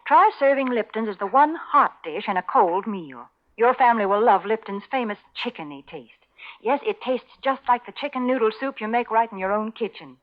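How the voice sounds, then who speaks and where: A slightly dull old radio recording carries a woman's fairly high, very animated voice. She delivers sponsor messages in a friendly, homey 1940s advertising style. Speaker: commercial spokeswoman.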